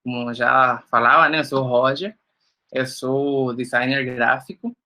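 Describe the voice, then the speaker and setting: A man's voice: medium pitch, a little slow, bright, forceful tone. A young man from Nicaragua with a Nicaraguan Spanish accent, a Spanish native speaker, teaching an online workshop in a casual, joking way with many fillers. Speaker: graphic designer teaching a workshop.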